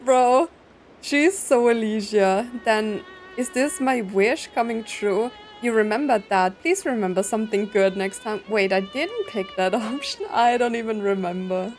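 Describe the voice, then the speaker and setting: Slow, chatty young woman reacting to a game. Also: a little high, darkish tone. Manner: chatty, fills with "like" and "right". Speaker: gameplay streamer. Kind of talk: casual reaction commentary. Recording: voice over game music.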